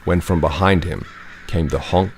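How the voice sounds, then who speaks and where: A man's voice: sits low, moderate pace, very noisy. A man narrating a rhyming children's story as the author reading his own book. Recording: loud music behind the voice.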